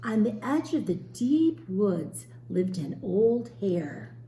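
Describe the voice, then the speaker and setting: A woman reads a picture book to children like a teacher, with lively pitch and an unhurried pace. She stops to explain and question. The room is echoey. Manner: questioning, explains words to young listeners. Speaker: teacher reading a storybook aloud. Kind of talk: expressive children's read-aloud with explanatory asides. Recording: roomy echo, faint background noise.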